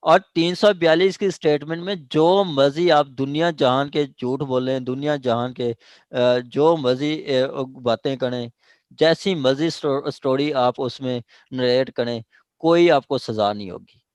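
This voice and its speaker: A man's voice: medium pitch, measured pace, a little mellow, dry recording. A man with a Pakistani accent teaching evidence law to a class, explaining step by step with many fillers and checking that students follow. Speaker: law lecturer.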